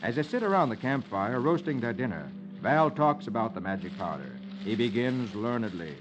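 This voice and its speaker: A man's voice: medium pitch, big pitch swings, normal speed, noisy background. An American man hosting a children's radio show, reading the funnies aloud in a theatrical, articulate 1950s storytelling style. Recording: muffled old radio recording, music behind.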